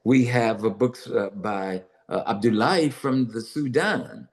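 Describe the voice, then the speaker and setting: An older man from Los Angeles, a guest author and publisher, answering interview questions with many pauses and fillers. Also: fairly low pitch, sing-song. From Los Angeles, United States. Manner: halting, many "uh" fillers and restarts. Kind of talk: conversational interview answers, then reading aloud.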